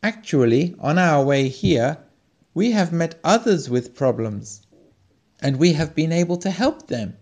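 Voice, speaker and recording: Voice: medium pitch, expressive, a little slow, a little mellow, voice pushed up. A storyteller voicing Kyomsum, a small earnest traveller on a quest who speaks kindly and helpfully. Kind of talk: storybook reading.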